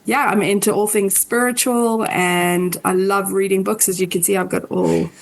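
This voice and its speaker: A woman's voice: mid-range voice, steady tone, moderate pace. A woman in her forties with a South African accent, chatting easily as a new podcast co-host. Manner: chatty, laughs easily, many ums. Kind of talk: casual podcast chat.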